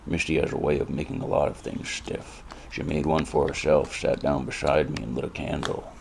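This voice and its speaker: Noir radio drama private eye, acted by a man: bottomless gravelly voice, laconic, deadpan, world-weary and brooding. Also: a little fast, background noise. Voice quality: very deep, gravelly. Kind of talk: noir radio drama with first-person narration.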